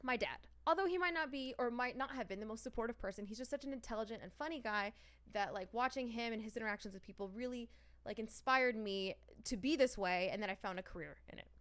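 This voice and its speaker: A woman's voice: a little high, fast talker, a bit bright. A young adult woman stand-up comedian telling stories in a casual podcast chat, swearing, laughing and acting out other people's lines.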